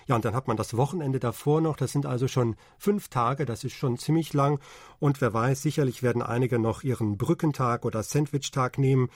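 A man in his forties co-hosting a casual podcast, explaining calmly with many hesitations. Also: mid-range voice, brisk, dry recording, studio quality. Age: 40s. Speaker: podcast co-host.

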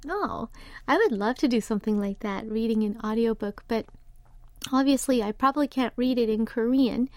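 A woman's voice: a little high, normal speed, dry recording. A woman radio DJ with an unusual accent, chatting casually and reading listener messages aloud between songs. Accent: American English. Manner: chatty, relaxed, with fillers. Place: radio studio. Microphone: studio microphone.

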